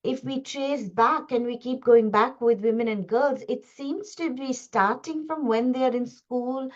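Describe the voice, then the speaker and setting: Woman speaker, from India, on a video call: earnest, restart-heavy, slightly high-pitched, muffled line. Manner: earnest, halting, many restarts and fillers. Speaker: guest speaker. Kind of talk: online webinar talk. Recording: dull lo-fi call audio.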